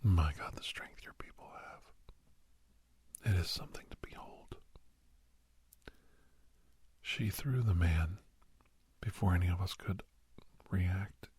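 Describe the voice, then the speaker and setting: Very deep, husky, whispery man playing a grave doctor. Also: full character voice. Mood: awed, intense. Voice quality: husky, breathy, often whispering. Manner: halting, hushed, dramatic delivery. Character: grave male doctor recounting a frightening memory to youngster. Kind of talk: ASMR roleplay storytelling.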